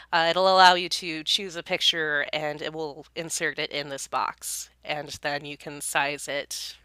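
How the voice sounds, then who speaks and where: A woman's voice: low voice, pushed and bright, no room sound. A young woman from California, slightly congested and sniffly, walking through a form on a screen recording in a chatty, friendly way full of ums and uhs. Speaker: county youth program staff. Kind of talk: casual screen-recording tutorial.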